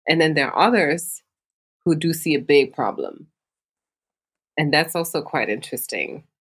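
Low husky woman podcast host. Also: animated, normal speed. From Africa. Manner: thoughtful, asks long framing questions. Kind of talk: interview conversation.

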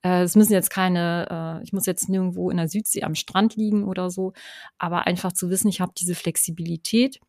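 A woman's voice: fairly low pitch, steady tone, fairly quick, darkish tone, no echo, clean studio sound. A middle-aged woman talking in an interview as a guest, chatty, with many fillers and tag questions. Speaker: guest, online course creator. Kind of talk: conversational interview.

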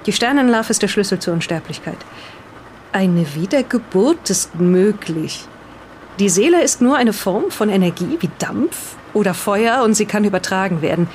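A woman's voice: medium pitch, animated, a little mellow, a little hiss or hum, lightly acted. A woman playing a hesitant, bewildered reader of an old diary, reading aloud and commenting in an audio drama. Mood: absorbed reading. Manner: halting, breaks off to comment on the reading.

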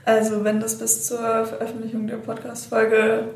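A woman's voice: on the high side, monotone, moderate pace, vocal fry. A young German woman hosting a podcast, chatting casually with seminar participants and asking friendly follow-up questions in a wooden hut. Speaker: podcast host. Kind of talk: casual interview. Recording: roomy echo.

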